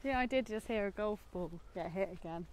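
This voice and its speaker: A woman's voice: mid-range voice, fairly quick, heavy background noise. A young British woman co-hosting a film vlog, chatting in an informal, understated way while walking outdoors. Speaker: co-host of a film discussion vlog. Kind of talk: casual chat while walking. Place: outdoors, walking in woods and a golf course.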